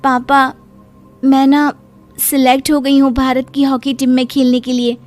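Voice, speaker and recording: Lady playing a meek earnest village girl grown up, high voice, cartoon moral story, music behind. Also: level tone, measured pace, a little mellow. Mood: troubled, pleading. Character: earnest young village daughter, hopeful hockey player, meek. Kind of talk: Hindi moral-story acting.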